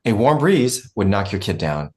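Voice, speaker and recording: Panicky woman press secretary played by an actress, low register, hugely varied pitch, comedy read. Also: moderate pace. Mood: dry, harried. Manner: excitable, swinging from dry to shrieking. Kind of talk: comedy script table read.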